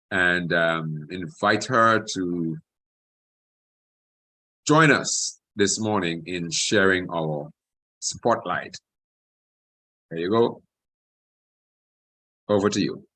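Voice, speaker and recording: A male pastor opens an online program, easing in with pauses and fillers. His voice sits somewhat low and comes over a video call. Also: bright, forceful tone, poor audio. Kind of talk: program introduction.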